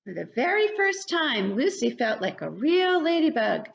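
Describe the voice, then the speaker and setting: An older woman reading a picture book aloud to young children in an animated, child-directed way. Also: sits high, moderate pace, gravelly, a little hiss or hum. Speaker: children's story reader. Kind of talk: read-aloud picture book narration for preschoolers. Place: living room at home. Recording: dull, lo-fi webcam sound.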